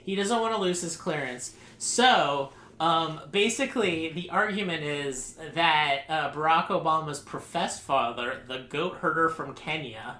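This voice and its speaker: A man's voice: on the high side, measured pace, hardly any pauses, pushed and bright. A man hosting a casual group podcast, rambling and sarcastic, laughing often as he talks. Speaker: podcast host. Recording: echoey room, faint noise.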